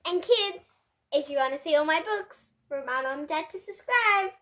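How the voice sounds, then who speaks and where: A child reading a picture book aloud in an expressive, halting way, with asides to young listeners. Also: high-pitched. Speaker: child reader, host of a kids' book channel.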